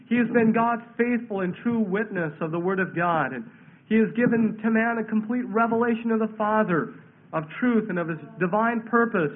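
Congested, high-pitched male preacher. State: congested with a head cold. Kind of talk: verse-by-verse Bible sermon. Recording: thin phone-like radio sound.

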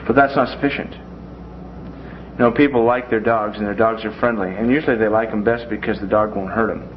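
A man's voice: fairly low pitch, fairly even intonation, normal speed. A man teaching a Bible class in a classroom in a folksy way, with joking asides. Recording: dull lo-fi classroom recording with faint noise.

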